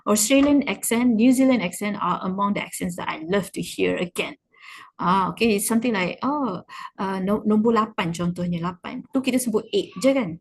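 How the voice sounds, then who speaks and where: A Malaysian-accented woman teaches an informal class in a slightly husky voice at a middling pitch. She mixes English and Malay freely, encourages her learners and drives points home by repeating key words. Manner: encouraging, emphatic with repetition. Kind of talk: informal online class, explaining.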